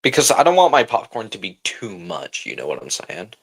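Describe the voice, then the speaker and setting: A man's voice: fairly low pitch, wildly swooping pitch, a little fast, breathy edge. A man hosting a livestream, chatting casually and informally with slang as he reacts and talks to viewers. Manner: informal slangy talk, often saying bro. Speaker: livestream host.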